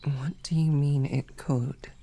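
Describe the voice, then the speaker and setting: A woman playing a snarky, devoted female knight, stammering and flustered, often dropping to soft whispers. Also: deep voice, fairly even intonation, takes it slowly, gravelly, slightly rough. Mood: earnest. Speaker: audio drama performer. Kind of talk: romantic audio roleplay.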